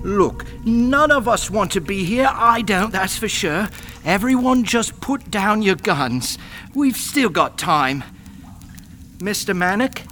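Man acting a high, strained, pleading gangster. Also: pushed and bright, full character voice. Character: pleading male gang member in standoff. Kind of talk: audio thriller drama acting. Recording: faint background noise.

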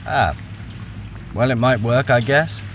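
A man's voice: medium pitch, slow pace, a bit bright, slight background noise. A man playing a wary, experienced miner, acting and narrating a radio crime thriller in a guarded, brooding way. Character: wary, experienced male miner, blackmailed, narrating his ordeal.

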